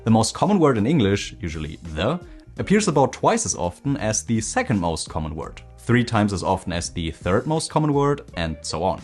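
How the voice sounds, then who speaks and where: A man narrating a scripted language-learning video in a clear, explanatory way. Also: on the low side, sing-song, moderate pace, a little mellow, soft background music.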